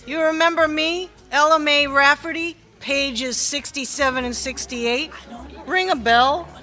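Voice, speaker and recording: A wounded, indignant teacher in her thirties in a radio drama. Her voice is high and sharp, and she speaks with open emotion. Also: steady tone, measured pace, faint music behind. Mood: pointed. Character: indignant small-town woman teacher, thirties, hurt and pleading. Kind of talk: radio mystery drama acting.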